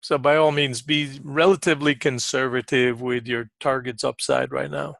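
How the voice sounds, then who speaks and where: A man giving informal live market commentary as a trading coach, conversational and repetitive for emphasis, often clearing his throat. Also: medium pitch, flat delivery, normal speed, fairly bright tone, no room sound, clean studio sound.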